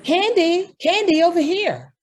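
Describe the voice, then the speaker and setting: A woman's voice: extremely high pitch, lively intonation, unhurried. A woman playing a harried, earnest department store executive in an old-time radio drama, exasperated and emphatic. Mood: dry, greeting.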